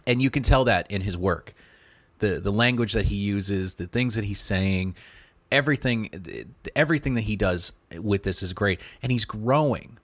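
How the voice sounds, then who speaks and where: A man's voice: fairly low pitch, moderate pace, dry recording. A man reviewing a podcast in casual commentary, enthusiastic and often repeating and restarting words.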